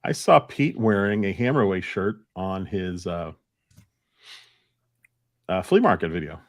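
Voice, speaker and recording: Male stream co-host, lowish voice, casual, dry close recording. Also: sing-song, unhurried, no room sound. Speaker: co-host reading live chat comments. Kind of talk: casual panel chat.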